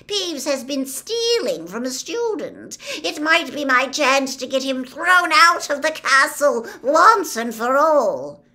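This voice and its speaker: Woman putting on a shrill, whining male caretaker. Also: slow pace, hardly any pauses, heavily acted. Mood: plaintive, aggrieved. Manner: whining, plaintive, excitable. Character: plaintive, fawning, aggrieved male school caretaker. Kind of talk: audiobook character voice.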